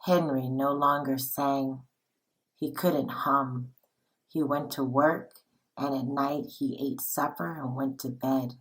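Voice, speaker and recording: A woman reading a children's picture book aloud like a teacher, in a dramatic, deliberate, expressive way. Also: rumbling low, poor audio. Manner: dramatic, deliberate, with acted-out dialogue.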